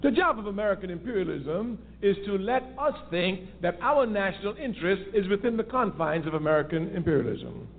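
A man's voice: high-pitched, lively intonation, brisk, hardly any pauses. A man giving an impassioned political talk on a call-in radio show, emphatic and repeating his key phrases for force. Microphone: phone line. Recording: tinny phone line with faint hiss.

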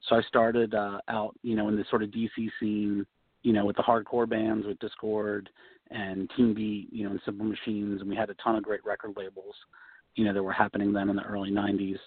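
Husky man talking over a phone line. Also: lowish voice, brisk, no room sound. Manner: relaxed, many you-knows and ums. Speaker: guest designer. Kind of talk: casual interview answers. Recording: tinny phone-line sound.

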